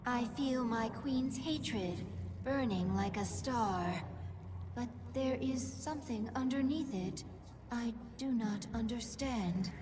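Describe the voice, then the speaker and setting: An actress voicing a devoted alien advisor to a swarm queen in a video game, solemn, reverent and measured. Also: a little high, background noise. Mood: reverent, curious. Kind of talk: dramatic video game acting.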